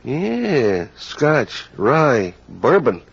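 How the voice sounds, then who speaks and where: An actor playing a gruff, slangy American police inspector, the sleuth's sidekick in an old radio crime drama. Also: male voice, medium pitch, big pitch swings, drawn-out words, full character voice. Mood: gruff, demanding. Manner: slangy, blunt. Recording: dull lo-fi old radio recording.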